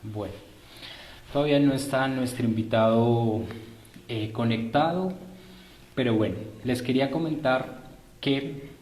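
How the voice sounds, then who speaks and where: Male live-stream host, unhurried and polite, improvising his announcements, recorded in a roomy, echoing space. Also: mid-range voice, darkish tone, a little hiss or hum. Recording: roomy echo.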